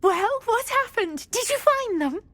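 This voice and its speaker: High-voiced, timid, genteel woman. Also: animated, unhurried, a bit bright, no room sound, full character voice. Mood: delighted. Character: sweet, timid, wistful woman fascinated by butterflies. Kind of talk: video game dialogue acting.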